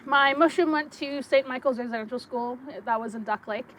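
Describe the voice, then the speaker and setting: A young woman speaking English with a slight French accent, giving an excitable conference talk with quick bursts and many fillers. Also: high-pitched. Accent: French-tinged Canadian English. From Saskatchewan, Canada. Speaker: guest speaker.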